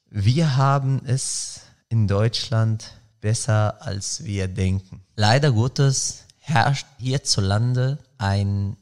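Man in his thirties, earnest podcast host, slow unhurried delivery, lowish voice. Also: a little mellow. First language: Arabic. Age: 30s. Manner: earnest, searching for words, many fillers and restarts. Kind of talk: reflective solo podcast monologue.

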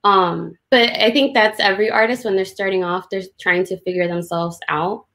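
A woman's voice: medium pitch, fairly even intonation, moderate pace, a bit bright. Woman illustrator casually explaining her process. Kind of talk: casual commentary on a drawing demo. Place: indoors on a video call.

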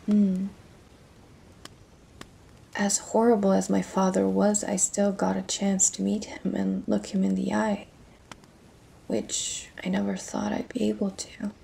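A woman plays a weary but tender pirate captain in an audio roleplay. She speaks slowly and softly, often near a whisper, with a mellow, creaky voice. Her delivery is hushed and full of feeling. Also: medium pitch, monotone, a little hiss or hum. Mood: reflective. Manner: hushed, slow, emotional delivery. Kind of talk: intimate audio roleplay acting. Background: faint music.

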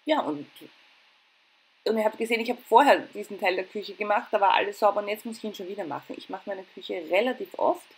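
A woman's voice: mid-range voice, fast talker. A middle-aged woman with an Austrian accent narrating her household routine in a chatty, informal, self-deprecating way. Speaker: home and cooking vlogger. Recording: clear background noise.

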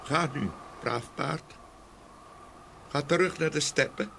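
A man's voice: on the high side, takes it slowly, vocal fry, sharp tone, heavily acted. A man voicing a simple, good-natured young peasant son in an audio fairy tale, guileless and agreeable. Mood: gentle. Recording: faint ambient noise behind voice.

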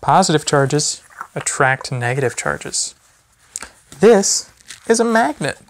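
A man in his thirties, a teacher, reading a children's book aloud with gentle, chatty asides to a baby. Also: a little high, sing-song, slow, slight background noise. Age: 30s. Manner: gentle parent talking to a baby. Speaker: physics teacher. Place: small carpeted or wood-floored room at home, indoors. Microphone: phone camera a few feet away.

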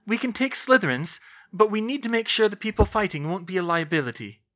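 Man playing an insistent young witch, earnest and quick, high bright tone. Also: expressive, lo-fi sound. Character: earnest determined young woman student.

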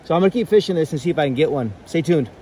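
A man's voice: on the high side, lively intonation, rapid-fire, heavy background noise. A man filming himself fly fishing outdoors by a river, talking casually with many fillers and restarts.

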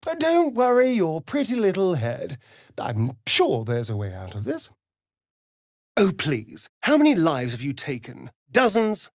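Sly, ancient male vampire for a game: theatrical, flirtatious, slow drawl, very animated pitch, dry close recording. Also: dry recording, exaggerated voice. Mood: indignant. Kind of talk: video game character acting.

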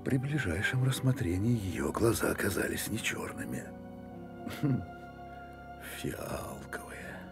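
A low, gravelly private detective. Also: male voice, big pitch swings, takes it slowly, long pauses, exaggerated voice. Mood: musing. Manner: muttering, exclaiming, dropping into whispers. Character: wry, restless private detective talking to himself. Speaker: actor in a radio play. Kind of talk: radio drama monologue, thinking aloud. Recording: radio play with music underneath.

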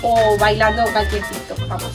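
A woman's voice: medium pitch, little pitch movement, normal speed. A nineteen-year-old woman with an accent from Spain, introducing herself as a casting applicant. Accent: Spanish from Spain. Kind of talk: self-presentation to camera. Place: outdoors in a garden. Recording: loud music behind.

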